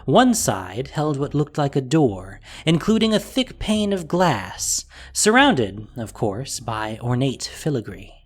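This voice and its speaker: A man's voice: medium pitch, very animated, slow, few pauses. A man narrating a fantasy audio drama in a scripted, dramatic storytelling style.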